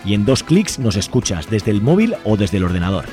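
A man's voice: lowish voice, big pitch swings, brisk, mellow. Man from radio, Spanish accent, over music. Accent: Spain. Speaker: radio show host. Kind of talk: presenting a community radio show, partly scripted. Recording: music behind the voice.

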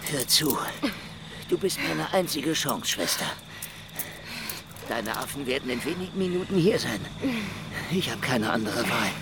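An actor playing a wry, flippant hard-boiled detective in a noir radio drama. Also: male voice, mid-range voice, lively intonation, measured pace, long pauses, noisy background. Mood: wry, pressing. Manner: sardonic, flippant. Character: wry hard-boiled male detective telling his own story. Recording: loud music under the voice.

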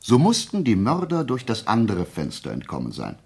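Methodical radio-play sleuth. Deep, gravelly, animated, lecturing with deliberate emphasis and total confidence. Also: male voice, heavily acted. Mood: intent, assured. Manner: deliberate, emphatic, didactic explaining. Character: methodical, self-assured male amateur detective reasoning aloud.

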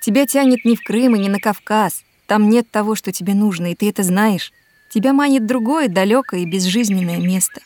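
An actress playing a caring, sensible woman pleading in an audio drama, earnest and gently reproachful. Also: mid-range voice, a little fast, a little hiss or hum, close to an everyday voice. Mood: earnest, dissuading.

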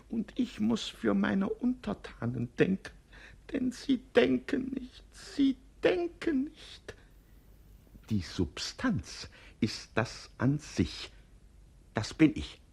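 A gravelly, muddled old king. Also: high voice, heavily acted. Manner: pompous, flustered philosophizing. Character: muddled pompous old king fussing over dressing. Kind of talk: 1950s radio play acting.

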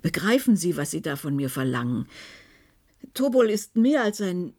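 An actress playing a serious, troubled and sceptical woman expert in a German radio play, husky and guarded, with a questioning manner. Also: fairly low pitch, sing-song, normal speed, a little mellow. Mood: troubled, doubting. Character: serious, sceptical adult female technology expert, troubled by conspiracy.

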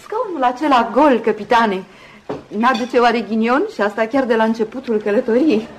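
An actress playing a gentle, dreamy, troubled young married woman in a radio drama, soft-spoken and hesitant. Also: on the high side, a little hiss or hum, close to an everyday voice, low-quality recording. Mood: uneasy. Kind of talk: Romanian radio theatre acting.

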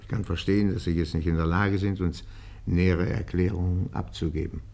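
An actor in a German radio drama playing a formal, probing interrogator with a restrained manner. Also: male voice, deep voice, fairly even intonation, a little fast, gravelly, a little mellow. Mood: calm, probing.

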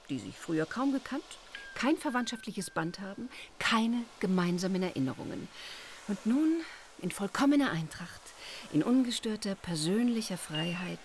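A woman's voice: medium pitch, slight background noise. An actress playing an idealistic lady author in an audio drama, speaking in lofty, eloquent, genteel phrases. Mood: delighted. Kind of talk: German audio drama acting.

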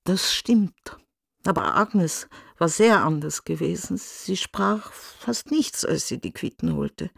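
An actress in a radio drama playing a concerned mother who gives a sober, subdued witness account with deliberate pauses. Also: mid-range voice, vocal fry, no echo, studio quality, exaggerated voice. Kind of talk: narrated testimony in a radio drama.

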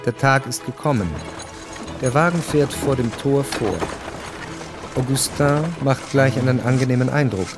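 Measured male radio drama narrator, mid-pitched. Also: music in the background.

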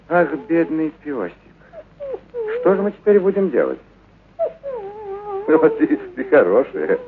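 Man voicing tender plump stranger, slow coaxing murmur, radio play, narrow phone-like noisy recording. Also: medium pitch. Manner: cooing, coaxing, repeating soothing phrases. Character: short, plump stranger, soft-hearted, coaxing a stray dog. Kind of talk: radio literary reading, acted dialogue. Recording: narrow phone-like radio sound with clear noise.

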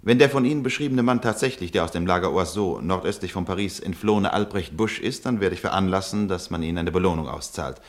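A man's voice: sits low, fast talker, hardly any pauses, lightly acted. An actor playing a cold, falsely polite German officer in a radio play who curtly dismisses a woman. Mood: smoothly formal. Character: cold, smooth German occupation officer, middle-aged. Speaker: officer taking a denunciation.

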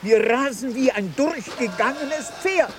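Ein Schauspieler spielt im Hörspiel einen besorgten, förmlich und bedächtig sprechenden Lotsen im Sturm. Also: male voice, very high-pitched, animated, unhurried. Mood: grave, tense. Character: measured, worried ship's pilot in a storm. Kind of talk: radio drama acting. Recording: clearly noisy ship sound effects.

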